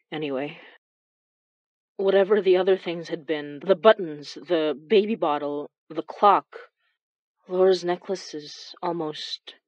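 A woman playing a troubled, reflective paranormal investigator in a horror audio drama, speaking in halting, confiding phrases. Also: lowish voice, darkish tone, no echo, muffled recording, lightly acted. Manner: halting, confiding, weighted pauses. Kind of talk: first-person horror audio drama monologue.

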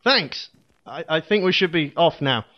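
A man's voice: on the high side, expressive, measured pace, dull audio. A man playing an unimpressed young superhero sidekick in a comedy audio drama, blunt and matter-of-fact. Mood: bemused.

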